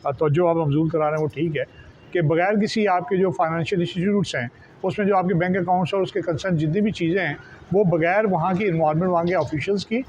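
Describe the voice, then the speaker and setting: A man with a Pakistani accent explains in an interview as a journalist, wordy and halting, often repeating and restarting his words. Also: high voice, darkish tone. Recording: dull lo-fi sound, faint background noise.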